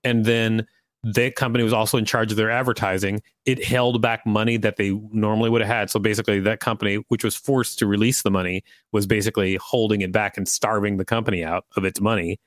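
A man's voice: fairly low pitch, level tone, fast talker, dry recording, studio quality. A man co-hosting a podcast, reading articles aloud and commenting casually. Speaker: podcast co-host.

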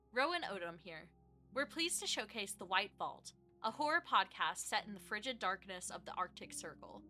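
A woman's voice: mid-range voice, bright, forceful tone. A woman reading scripted promotional announcements as a podcast producer.

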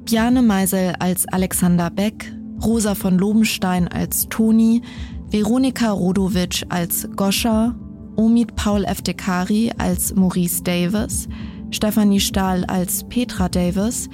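A woman's voice: mid-range voice, steady tone, unhurried. Woman announcer reading credits over music. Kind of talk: scripted narration, reading credits. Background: prominent music.

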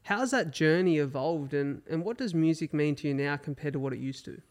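A man's voice: a little high, brisk, a little mellow. A man hosting a casual interview, speaking in an upbeat, earnest and encouraging way. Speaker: podcast host.

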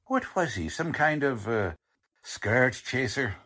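Guy doing a gruff bossy american police lieutenant, old radio crime show, slangy, drawn out and swingy delivery. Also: medium pitch, expressive, slow pace, pushed and bright, full character voice. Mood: wary, bossy. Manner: blunt, slangy, hemming and hawing. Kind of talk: old-time radio crime drama acting.

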